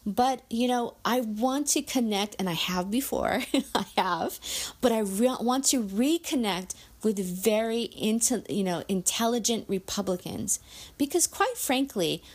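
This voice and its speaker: A woman's voice: fairly high pitch, measured pace. A woman giving a frank, earnest personal monologue, thinking aloud and restarting her sentences as she goes. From United States.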